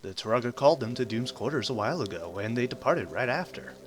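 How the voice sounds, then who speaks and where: A man voicing a dutiful city guard in an animated fan dub, reporting matter-of-factly. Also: mid-range voice, fast talker, natural voice.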